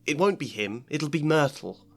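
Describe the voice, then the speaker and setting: A man doing a high, fussy schoolgirl. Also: animated. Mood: matter-of-fact. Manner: rushed, fussy and anxious. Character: a high, frantic, bossy schoolgirl.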